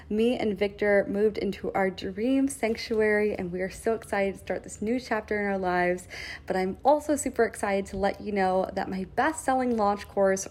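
A woman podcast host reading an excited, bubbly promo for her course from her new home. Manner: bubbly, excited sales pitch. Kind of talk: upbeat self-promotion read.

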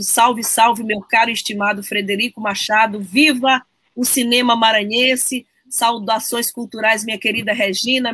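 Woman hosting a live stream, bright clear voice, gracious, reads out viewer comments. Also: on the high side, dry recording. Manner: gracious, slightly formal. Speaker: host.

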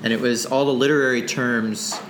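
A man's voice: mid-range voice, fairly quick, noisy background. A man from Nova Scotia, an author talking casually in an interview, rambling with many restarts. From Nova Scotia, Canada. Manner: rambling, many restarts, animated when excited. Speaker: author guest. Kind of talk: conversational interview.

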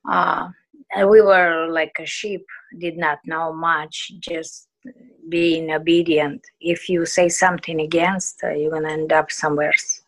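A woman from Ukraine whose first language is Russian speaks English with a Russian-speaking Ukrainian accent. She is an interviewee recalling her past in a halting way and searching for English words. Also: low-pitched, little pitch movement, rough recording. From southeastern Ukraine. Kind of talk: conversational personal recollection.

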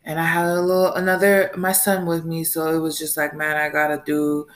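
An American woman in her late twenties, a mother and podcast host, talking through her week in a rambling, animated solo chat and laughing often. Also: low-pitched, fairly quick. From United States. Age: late 20s. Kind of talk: casual solo monologue.

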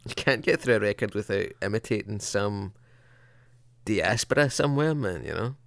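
A man's voice: fairly low pitch, animated, normal speed, vocal fry, no echo, studio quality. A man co-hosting a podcast, chatting casually in a jokey, rambling, teasing way. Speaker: podcast co-host. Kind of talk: casual chat.

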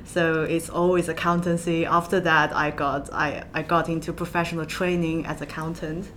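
A woman from southwest China with a Chinese accent, answering interview questions in halting, hesitant phrases. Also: little pitch movement, a bit bright. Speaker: podcast guest, accountant. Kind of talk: interview conversation. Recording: low rumble and background noise.

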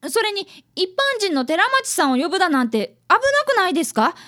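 A woman's voice: very high-pitched, sing-song, a little fast, bright, forceful tone, heavily acted. A woman playing a polite, earnest primary-school boy who is an apprentice detective and narrator in a radio drama. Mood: worried, earnest.

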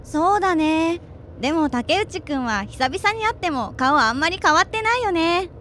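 A woman's voice: very high voice, lively intonation, normal speed, fairly bright tone, a little hiss or hum. An actress playing a friendly, forward, sporty woman in her twenties in a radio drama, chatting casually and directly. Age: 20s.

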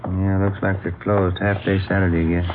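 An actor playing an easygoing, folksy American police detective who talks in a casual, colloquial way in a radio drama. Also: male voice, sits low, little pitch movement, brisk, music in the background, natural voice. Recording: narrow lo-fi radio, clear noise.

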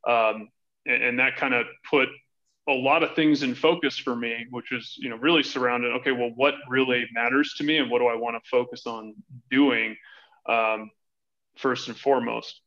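A man's voice: mid-range voice, steady tone, moderate pace, bright, forceful tone, highs cut off. A man in his thirties from Hawaii with an American accent, answering conversationally as a podcast interview guest. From Hawaii, United States. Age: 30s. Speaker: podcast guest, financial advisory director.

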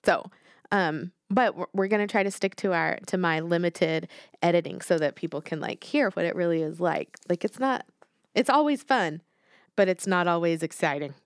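A woman co-hosting a podcast in casual chat, animated and reflective, thinking aloud with fillers. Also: on the low side, moderate pace, dry recording, studio quality. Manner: animated, reflective, talks things through with fillers. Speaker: podcast co-host.

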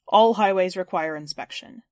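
A woman voicing an earnest, literal highway inspection robot in an audio story, with formal technical phrasing and a flat mechanical manner. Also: moderate pace, no room sound, studio quality, lightly acted. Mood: matter-of-fact.

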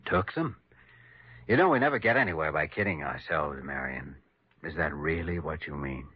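Earnest deep-voiced radio doctor. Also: male voice, animated, moderate pace, a little hiss or hum. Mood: gently probing. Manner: earnest, gently probing, sympathetic. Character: earnest, caring young male hospital doctor. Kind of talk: 1950s radio drama acting. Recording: dull lo-fi 1950s radio recording.

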